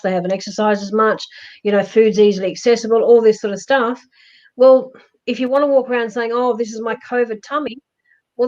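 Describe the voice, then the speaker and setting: A woman with a loud voice, giving emphatic, reassuring life advice in a casual live-stream chat. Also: a little high. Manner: emphatic, reassuring, repeats herself. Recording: dull lo-fi stream audio.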